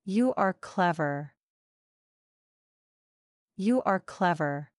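A woman reading English example sentences slowly and evenly for learners to repeat. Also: fairly low pitch, expressive, dry recording, clean studio sound. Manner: careful, evenly paced repetition.

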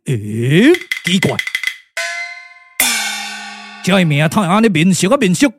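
A man's voice: high voice, sing-song, slow, full character voice. A man voicing a proud old immortal sage in a Taiwanese puppet radio play, theatrical and exclamatory, with a Taiwanese accent. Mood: baffled, indignant. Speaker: puppet theatre narrator and voice performer. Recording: music under the voice.

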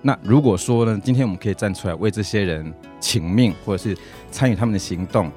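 Low-voiced Taiwanese man talking quickly over music. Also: mellow. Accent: Taiwanese Mandarin. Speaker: guest, social activist. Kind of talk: casual interview talk. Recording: music playing behind the voice.

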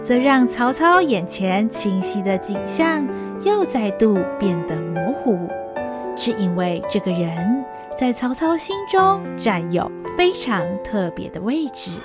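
A woman narrating a historical audio drama with a gentle, expressive, melodic delivery, then addressing listeners as host. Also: mid-range voice, measured pace, loud music behind the voice. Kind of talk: scripted storytelling narration.

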